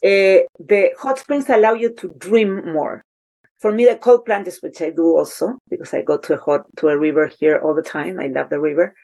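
A woman's voice: lowish voice, moderate pace, darkish tone. A woman from Chile with a Chilean Spanish accent, a podcast guest talking casually and animatedly, often restarting sentences as she searches for words. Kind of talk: casual conversation.

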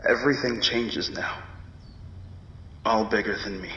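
A mortally wounded army major in a radio play, dutiful and stoic. His clipped phrases come very slowly, with a hard, bright edge, in a roomy echo. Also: fairly low pitch, steady tone, dull audio, a little hiss or hum. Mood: resigned, urgent. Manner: halting, clipped, telegraphic phrases. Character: dying army major, slightly younger man, stoic, dutiful, devoted. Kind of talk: radio drama acting.

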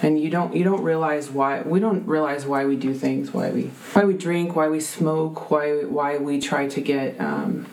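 A woman with a deep voice, telling a heartfelt faith testimony as a guest, full of ums and restarts. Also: fairly even intonation, normal speed, few pauses, darkish tone, low-quality recording. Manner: earnest, many fillers and restarts.

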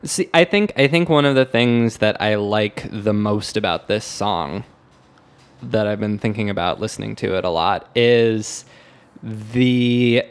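A man co-hosting a casual music discussion, speaking in hesitant, halting phrases with repeated words. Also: fairly low pitch, clean tone. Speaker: podcast co-host.

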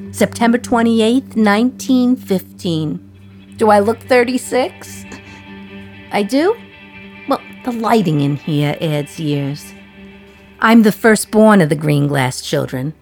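A woman's voice: mid-range voice, a little mellow. An actress playing a sardonic, resentful jailed woman in her thirties from 1950s New York, confiding her memories in a radio play monologue.

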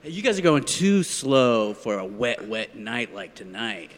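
A man's voice: mid-range voice, very animated, unhurried. A man playing a reckless, boozy, bumbling police officer in an improvised radio parody, loose and erratic in his delivery. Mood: boozy, bossy.

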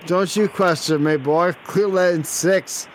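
A man's voice: high-pitched, fairly even intonation, slow, a little hiss or hum, pitched above the performer's own voice. A man playing a cocky, pompous bowling champion with a fake Australian accent on a prank phone call, threatening and repeating himself. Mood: belligerent.

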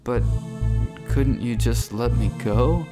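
An actor playing a meek, bewildered musician in a radio drama, hesitant and deadpan in the face of death. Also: male voice, medium pitch, slow pace, close to an everyday voice. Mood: pleading. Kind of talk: scripted radio drama dialogue. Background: faint music.

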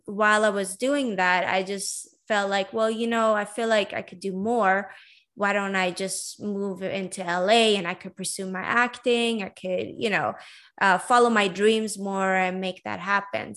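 A woman's voice: mid-range voice, a bit bright. Chatty woman telling her story. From Iran, raised in Sweden. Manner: chatty, many fillers and asides. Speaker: podcast host. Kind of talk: casual personal storytelling.

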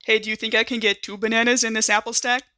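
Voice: medium pitch, flat delivery, fast talker, sharp tone, no echo, clean studio sound, natural voice. A performer playing a friendly, joking young Marine medic in a sci-fi tabletop roleplay, rambling and informal. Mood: teasing, playful. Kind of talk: improvised in-character tabletop roleplay.

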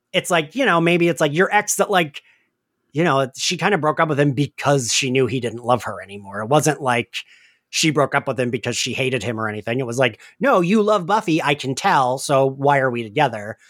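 A man's voice: medium pitch, animated, normal speed, a bit bright, no room sound, clean studio sound. A man hosting a podcast in a casual, chatty and emphatic conversational way. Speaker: podcast host. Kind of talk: casual discussion.

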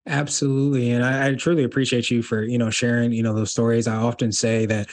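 A man's voice: medium pitch, little pitch movement, fast. A man hosting an interview podcast, speaking in an upbeat, eager manner. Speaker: podcast host.

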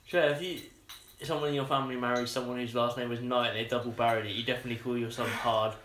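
Quick, bright man joking. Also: mid-range voice, steady tone. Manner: quick dry jokes, teasing. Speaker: podcast co-host. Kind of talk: casual chat. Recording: echoey room, faint noise.

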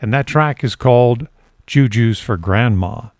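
A man hosting a conversational interview, putting his questions in a tentative, halting way. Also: mid-range voice, darkish tone, dry recording. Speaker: interviewer, show host.

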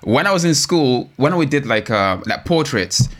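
A man's voice: medium pitch, lively intonation, moderate pace, a bit bright. A man from Africa hosting a car show, chatting informally and enthusiastically with his guest. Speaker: host of a car talk show. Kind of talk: casual interview chat.

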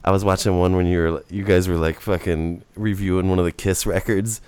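A man with a very low, deep voice hosting a loose podcast chat. He is relaxed and laughs easily, peppering his talk with "dude" and "man.". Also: brisk, clean tone, dry recording. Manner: laid-back, laughs often, says "dude" and "man". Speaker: podcast host.

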